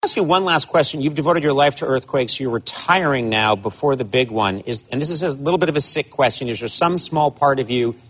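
A man's voice: mid-range voice, expressive, fairly quick, hardly any pauses, fairly bright tone, slightly rough. A man interviewing a guest in front of an audience, with a playful, joking manner.

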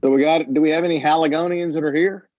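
A man hosting a casual group discussion, talking haltingly and repeating words as he thinks. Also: on the high side, fairly even intonation, a little mellow, no room sound. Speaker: discussion host. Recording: dull lo-fi online meeting audio.

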